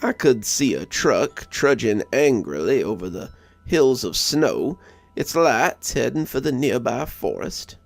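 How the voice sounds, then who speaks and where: Male storyteller with lively pitch, background music. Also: unhurried, creaky, dry recording. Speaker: horror story narrator. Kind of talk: scripted story narration. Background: music under the voice.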